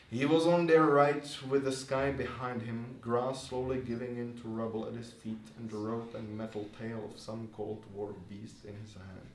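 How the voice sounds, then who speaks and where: A man speaks to an audience at a live literary event in a bar. His voice is mid-pitched and picked up from a distance in a roomy space, with faint noise in the recording. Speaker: reader and host of a literary reading event. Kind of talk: reading prose fiction aloud to an audience.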